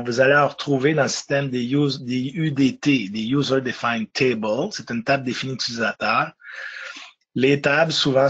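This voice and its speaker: A man with a Quebec French accent gives a relaxed webinar presentation, congested from a cold. His voice is husky and comes through dull, lo-fi webinar audio. He hesitates often, with fillers and restarts. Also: medium pitch. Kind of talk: casual explanatory webinar.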